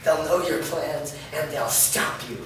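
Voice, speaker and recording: A man acts a mad, taunting traitor in a staged drama reading. The highish voice drags slowly and sounds distant in a very echoey space. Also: fairly bright tone. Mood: manic, threatening. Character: giggling, taunting madman traitor. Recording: distant, very echoey, noisy room recording.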